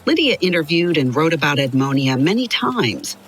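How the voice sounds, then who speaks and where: Lively low-voiced woman storyteller. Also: moderate pace, faint music behind. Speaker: narrator of a children's biography story. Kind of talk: scripted storytelling narration.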